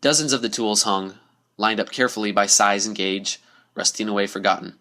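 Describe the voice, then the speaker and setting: A man's voice: lowish voice, a little fast, pushed and bright. A man giving a dramatic scripted narration of a spooky story. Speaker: story reader.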